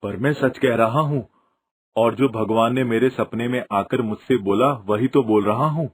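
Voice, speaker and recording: Cartoon role: man as stubborn village father, raspy mid-range voice, close dry sound, wry, wily, argumentative. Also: medium pitch, unhurried, dry recording. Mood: sly. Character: wily, grumbling village father, stubborn but soft-hearted. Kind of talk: Hindi cartoon moral story dub.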